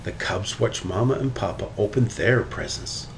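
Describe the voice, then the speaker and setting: Male storybook reader, low register, very lively intonation, some background noise. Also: normal speed, gravelly. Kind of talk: reading a children's story aloud.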